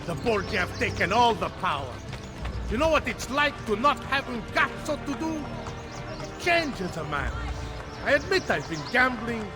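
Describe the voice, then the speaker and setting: An actor playing a talkative, debt-ridden senator in a video game, with an Italian accent and a theatrical, rambling, wisecracking delivery. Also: male voice, very high voice, big pitch swings, moderate pace, music in the background. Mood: rueful, chatty.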